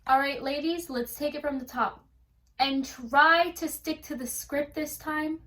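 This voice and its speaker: Woman as an exasperated high-voiced radio director. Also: fairly even intonation, unhurried, pushed and bright. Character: exasperated female radio play director keeping order. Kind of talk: 1940s radio comedy play acting.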